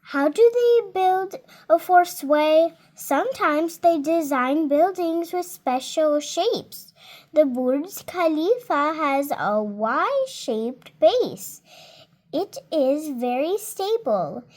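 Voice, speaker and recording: A woman's voice: high voice, darkish tone. A seven-year-old girl reading a children's nonfiction book aloud carefully, word by word, adding her own small comments. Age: child, 7 years old. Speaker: child reader.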